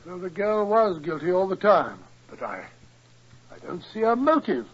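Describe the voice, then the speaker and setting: An actor playing a bluff, loyal English sidekick with a British accent and blustery manner in 1940s radio drama. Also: male voice, high voice, very animated, moderate pace, husky, full character voice. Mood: puzzled. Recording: dull 1940s radio recording.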